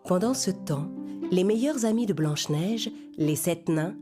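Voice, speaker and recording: A woman's voice: on the low side, animated, unhurried. Female bedtime story narrator over music.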